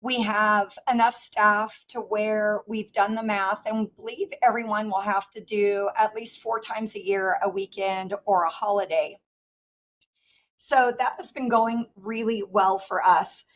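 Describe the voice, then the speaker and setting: A woman in her fifties speaks with a scratchy, hoarse voice, testifying earnestly over a remote call with the odd filler. Her pitch sits mid-range for a woman. A faint rumble runs underneath. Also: steady tone, measured pace, fairly bright tone. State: hoarse, lost voice. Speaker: regional director testifying. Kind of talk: formal testimony. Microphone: remote call audio.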